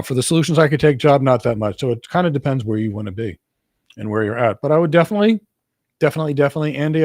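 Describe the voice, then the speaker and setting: A man's voice: medium pitch, gravelly, no echo, clean studio sound. A man from Greece with an American accent, hosting and answering viewers' career questions in an emphatic way, often repeating words for stress. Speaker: host, cloud architect.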